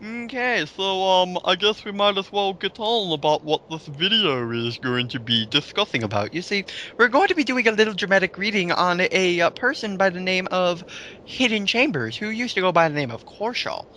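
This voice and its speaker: A man's voice: high-pitched, lively intonation, normal speed, sharp tone, a little hiss or hum. A man co-hosting a casual commentary chat in a rambling, emphatic, sweary way. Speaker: commentary video co-host.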